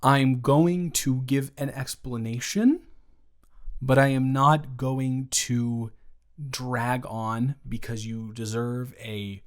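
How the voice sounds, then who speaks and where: Slow, careful man with a gentle voice. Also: medium pitch, fairly even intonation. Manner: slow, careful, measured speech with pauses; reassuring, soft-spoken. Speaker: audio roleplay performer. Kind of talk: improvised roleplay audio, gentle rejection.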